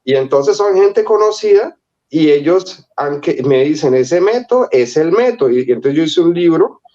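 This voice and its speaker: A man from Colombia, a guest in an interview, rambling through animated anecdotes and acting out quoted voices. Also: fairly high pitch, normal speed, lo-fi sound. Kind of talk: casual storytelling.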